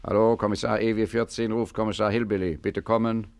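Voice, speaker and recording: Man playing gangster boss, very low voice, brisk, unruffled, cocky, commanding, old radio satire. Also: level tone, natural voice. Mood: feigned, official. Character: cool, cocky gentleman gangster boss, ordering his crew around. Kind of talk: 1950s German radio crime satire acting.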